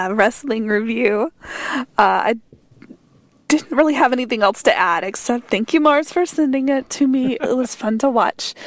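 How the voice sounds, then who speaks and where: A woman's voice: mid-range voice, no room sound. A woman with a head cold and a stuffed-up voice, leaving a rambling, giggly voicemail full of fillers. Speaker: voicemail caller. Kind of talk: casual voicemail message.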